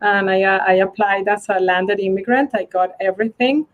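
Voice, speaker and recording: A woman from Venezuela, answering interview questions over a video call, halting with many fillers. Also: medium pitch, lo-fi sound. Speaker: guest, global mobility manager.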